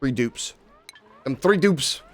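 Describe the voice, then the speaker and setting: Excitable man streaming a game. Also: mid-range voice, takes it slowly. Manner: excitable, swears casually, talks to chat. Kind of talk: casual live-stream commentary.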